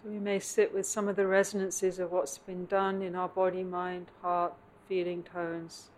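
Low, even-voiced woman guiding meditation. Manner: contemplative, measured phrases with long pauses. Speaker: meditation teacher. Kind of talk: guided meditation. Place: meditation center, live session. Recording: clear background noise, live room ambience.

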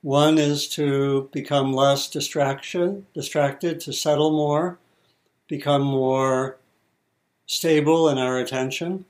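Slow-speaking man guiding meditation. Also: on the high side, fairly even intonation. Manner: measured, with pauses between short phrases. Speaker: meditation teacher. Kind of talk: guided meditation instruction.

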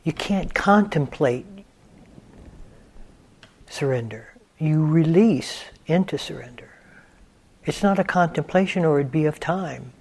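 A man teaching a guided meditation, speaking deliberately in short phrases with long pauses, often letting endings drop to a hush and repeating key words. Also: on the high side, expressive, slightly rough.

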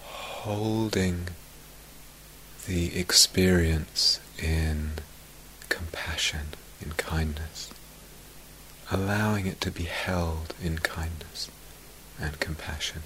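A man with a low-pitched voice leads a guided meditation at a very unhurried pace. His delivery is gentle and soft-spoken, with long pauses and endings that fade toward a whisper, yet the tone stays clear-edged and bright. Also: a little hiss or hum, rough recording. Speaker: meditation teacher.

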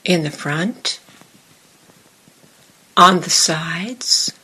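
A woman with a slightly low voice leads a guided meditation. She speaks very slowly, in short measured phrases, and leaves long pauses between them as a teacher giving instructions. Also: sharp tone, breathy edge, low-quality recording.

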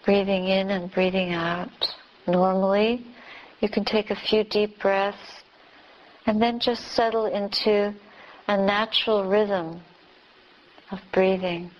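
A woman teacher leading a beginner's guided meditation, giving calm, gentle instructions with long pauses. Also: medium pitch, highs cut off. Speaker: Buddhist nun teaching meditation.

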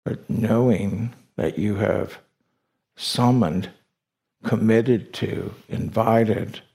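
A man leading a guided meditation as a teacher, speaking deliberately in short phrases with long pauses between them. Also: fairly low pitch, fairly even intonation, warm tone.

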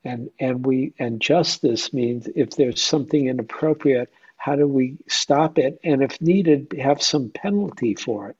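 A man's voice: medium pitch, measured pace, few pauses, husky, highs cut off. A man leading an informal group discussion as a meditation teacher, conversational and questioning, often checking in with listeners. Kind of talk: informal question-and-answer dharma talk.

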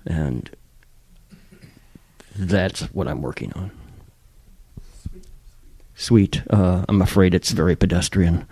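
A man's voice: deep voice, takes it slowly, long pauses, dark tone, husky. A man modestly and tentatively sharing his meditation experience in a group. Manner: modest, self-deprecating, tentative.

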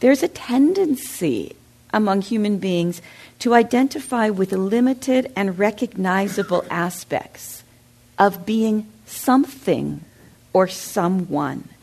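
Woman teaching meditation, a bit of vocal fry, asks the room lots of questions. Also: medium pitch, darkish tone. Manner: reflective, asks the audience questions. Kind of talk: dharma talk. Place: meditation center hall.

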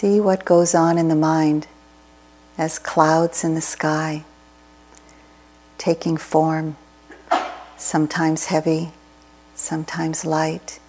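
A woman leads a guided meditation in a low, very even voice. She speaks very slowly and deliberately, leaving space between short, soothing phrases as she gives gentle instruction. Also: a little hiss or hum, rough recording. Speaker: meditation teacher. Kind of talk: guided meditation, slow and deliberate with long pauses.

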